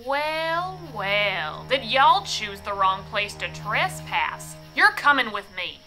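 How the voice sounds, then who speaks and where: A woman's voice: medium pitch, big pitch swings, takes it slowly, pushed and bright, soft background music, heavily acted, poor audio. A woman playing a suspicious, bossy countrywoman farmer in a radio drama, stern and folksy as she challenges people. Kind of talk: science fiction radio drama acting.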